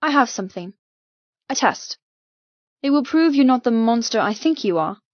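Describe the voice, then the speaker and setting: Female narrator as spirited young heroine of audiobook romance: emphatic, challenging, raised pitch, dry and slightly dull recording. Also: animated, normal speed, no room sound, close to an everyday voice. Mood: challenging, insistent. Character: defiant, spirited young woman heroine challenging her captor. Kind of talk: audiobook character dialogue.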